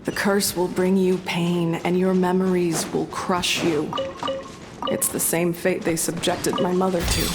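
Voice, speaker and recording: A woman playing a grave, devoted young researcher in a video game, speaking in an earnest, deliberate manner. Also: on the low side, little pitch movement, over music, close to an everyday voice. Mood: solemn.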